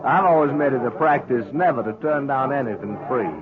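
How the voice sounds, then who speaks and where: A man acting a smug, sly saloon owner with a mocking, smooth-talking manner in a 1950s radio western. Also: medium pitch, fairly quick, close to an everyday voice. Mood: smug, taunting. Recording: dull old radio recording, loud crowd behind.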